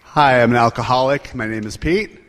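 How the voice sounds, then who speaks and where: A man speaking earnestly at a recovery meeting, sharing his story with lively asides. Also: medium pitch, a little fast, background noise. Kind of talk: personal share at a meeting.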